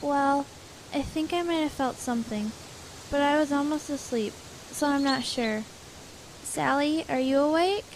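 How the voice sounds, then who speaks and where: Female actor as high voiced girl camper, earnest and uneasy, audio drama, slight noise behind. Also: a little slow, rough recording. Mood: earnest, curious. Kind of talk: amateur audio drama acting.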